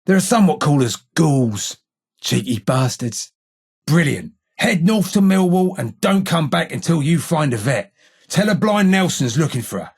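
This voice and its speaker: The husky old ghoul boss you'd find holding court in an East London gang hideout in a video game, swearing and sneering in a fairly high-set London growl. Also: male voice, big pitch swings, moderate pace, exaggerated voice. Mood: matter-of-fact, gruff. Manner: salty, sarcastic Cockney slang. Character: gruff, foul-mouthed, sardonic old London gangster ghoul. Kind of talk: video game dialogue acting.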